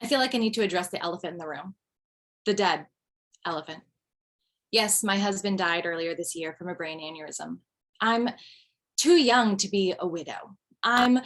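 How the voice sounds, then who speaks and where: A woman playing a widowed mother in her forties who rambles through dark jokes with a wry, punchy comic delivery. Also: mid-range voice. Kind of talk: table read of stand-up style monologue.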